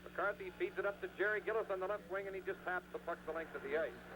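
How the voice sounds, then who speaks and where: Male hockey play-by-play caller, high voice, clipped urgent delivery, noisy vintage radio sound. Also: fast talker. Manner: clipped, urgent running narration. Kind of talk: live radio sports commentary. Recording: noisy 1970s radio broadcast.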